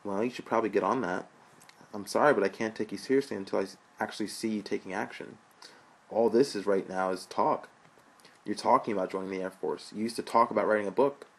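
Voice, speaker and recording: Man reading a judgmental, pleading younger brother; husky voice. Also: on the low side, fast. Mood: skeptical. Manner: earnest, pleading, lecturing. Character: earnest young college-bound brother, judgmental.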